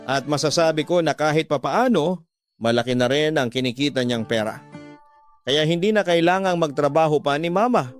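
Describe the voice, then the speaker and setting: A man with a Filipino accent giving scripted first-person narration for a radio drama. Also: mid-range voice. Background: background music.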